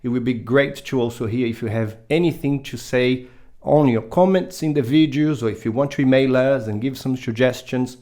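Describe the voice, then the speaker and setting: A man's voice: mid-range voice, moderate pace, warm tone. A man hosting an interview podcast, introducing the show and his guest in a conversational way. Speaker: podcast host.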